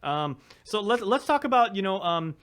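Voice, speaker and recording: A man's voice: high-pitched, very animated, moderate pace, fairly bright tone. Upbeat joking male news show host. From Guam. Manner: upbeat, joking, many fillers and restarts. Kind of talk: casual live interview.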